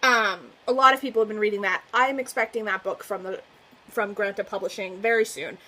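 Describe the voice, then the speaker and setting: A woman's voice: mid-range voice, steady tone, brisk, bright, forceful tone, rough recording. A woman book vlogger chatting casually to her viewers, gushing and effusive and laughing often. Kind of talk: casual chat to camera.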